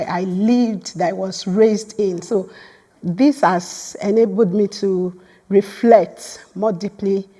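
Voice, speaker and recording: Woman, Yoruba first language, guest lecturer in a hall, earnest and measured, middle pitch. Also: warm tone. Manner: earnest, repeats words when starting a phrase. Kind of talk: academic lecture.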